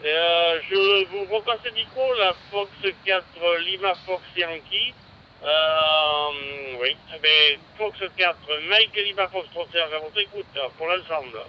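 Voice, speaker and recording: A man with a fairly high voice speaks through a slightly dull radio connection with faint noise. He gives his report politely, following radio procedure. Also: slow. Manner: courteous, procedural. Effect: voice heard over radio. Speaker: amateur radio operator.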